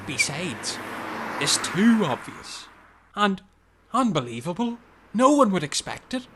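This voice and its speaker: A man's voice: high-pitched, big pitch swings, measured pace, noisy background. An actor playing a hapless, cocky young male getaway driver in a foul-mouthed comedy sketch, defensive and matter-of-fact.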